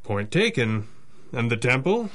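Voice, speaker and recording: An actor playing a stern, confident masked pulp hero, with a formal, declamatory delivery in a 1930s-style radio drama. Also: male voice, medium pitch, very animated, a little slow, fairly bright tone. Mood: assured.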